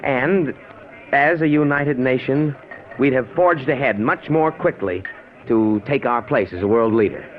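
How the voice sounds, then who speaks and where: An actor playing a confident, earnest author in old-time radio drama, polite and emphatic, with an American accent. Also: male voice, medium pitch, unhurried, a little hiss or hum, natural voice. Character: earnest, confident male author expounding his historical theory. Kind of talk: 1940s radio acting. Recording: tinny old radio recording.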